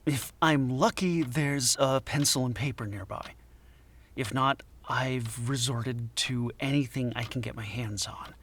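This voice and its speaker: A man acting a troubled middle-aged anthropology professor in an audio drama, guarded and halting. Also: medium pitch, a bit bright. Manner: hesitant, halting, defensive. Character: troubled forty-year-old male anthropologist professor, guarded, haunted.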